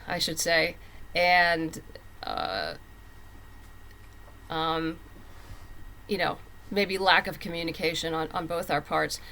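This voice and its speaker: Woman writer on an interview show, lowish voice, chatty storytelling style. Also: a bit bright. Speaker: comic book writer and guest. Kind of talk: conversational interview talk.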